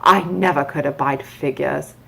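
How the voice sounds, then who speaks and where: A woman voicing a brusque, bad-tempered Duchess in a children's storybook, loud, imperious and drawn-out. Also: low voice, creaky, slightly rough, heavily acted, rough recording. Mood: snappish.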